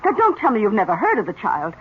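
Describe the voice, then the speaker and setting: An actress playing a genteel, effusive older aunt who earnestly believes in psychic gifts, in 1970s radio drama style. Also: female voice, mid-range voice, huge pitch swings, quick pace. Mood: earnest, enthralled. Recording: muffled old radio recording, faint hiss.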